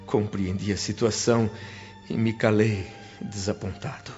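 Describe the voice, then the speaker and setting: A man's voice: fairly low pitch, steady tone, a little slow, darkish tone, lightly acted. An actor playing a sorrowful, earnest spirit, telling his story in dramatic, emotive first-person narration for a radio drama. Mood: anxious, disappointed. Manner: dramatic, emotive, literary phrasing. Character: sorrowful male spirit returning home, wistful and earnest. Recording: dull lo-fi sound under music.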